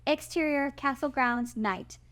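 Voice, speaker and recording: A woman's voice: high voice, unhurried, a bit bright. A woman narrator reading out the stage directions and scene headings of a screenplay.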